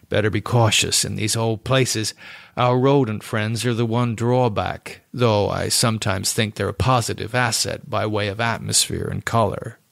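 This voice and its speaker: A man's voice: on the low side, vocal fry, dry recording, studio quality. A man voicing a sinister, flippant male painter with a sardonic, nonchalant manner, in a horror story reading.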